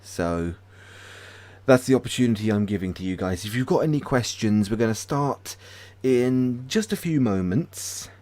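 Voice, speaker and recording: A British man hosting a casual livestream, chatting hesitantly with many pauses and restarts. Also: medium pitch, lively intonation, warm tone. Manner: hesitant, lots of ums and restarts, friendly. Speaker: ASMR channel host.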